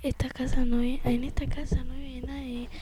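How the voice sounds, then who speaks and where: A woman improvising a radio play as a frightened young female burglar, often dropping into breathy whispers, on a very noisy amateur recording. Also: high-pitched, level tone, normal speed, mellow, natural voice. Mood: uneasy, suspicious.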